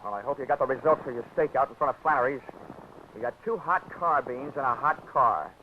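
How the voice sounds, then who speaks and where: A man's voice: medium pitch, brisk, a little hiss or hum, close to an everyday voice. A man playing a wisecracking, hard-boiled insurance investigator who narrates a 1940s radio drama in a dry, sardonic way. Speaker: narrator and lead. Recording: tinny old radio broadcast with music score.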